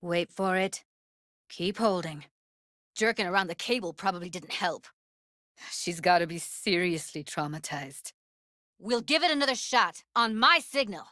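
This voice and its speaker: A woman's voice: lowish voice, animated, unhurried, sharp tone, dry recording, clean studio sound. A woman voice-acting a tough, blunt but tender-hearted young techie in a video game, with clipped, slangy, sweary phrasing. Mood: urgent, focused.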